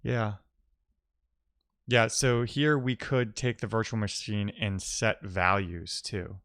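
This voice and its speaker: A man's voice: fairly low pitch, steady tone, slow pace, fairly bright tone, no echo. Man, clean resonant tone, dry close sound, casual technical talk, musing, self-questioning. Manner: musing, explanatory, poses questions to himself. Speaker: live-coding streamer.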